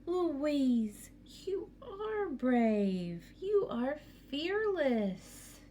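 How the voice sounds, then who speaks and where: A woman's voice: sits high, big pitch swings, drawn-out words, dark tone, a little hiss or hum, voice pushed up, full character voice. A woman voicing a young girl mouse from a storybook, brave in her words but easily frightened, in an emphatic, plaintive delivery. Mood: admiring.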